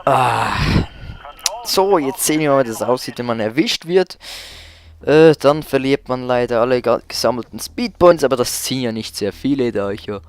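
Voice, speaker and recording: A man's voice: mid-range voice, normal speed. A man giving casual, colloquial gameplay commentary with exclamations as he plays. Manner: colloquial, mildly swearing, exclaims while playing. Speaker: let's play host. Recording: loud music and car noise behind. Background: game music and car engines.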